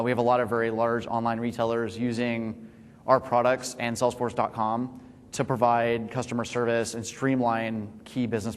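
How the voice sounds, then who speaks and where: A man presents software at a conference in a loose, casual way, filling pauses with ums and uhs. His voice sits in a middle range. A long hall reverb trails behind every line. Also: mid-range voice. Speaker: company founder presenting. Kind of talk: tech conference talk. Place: large conference stage.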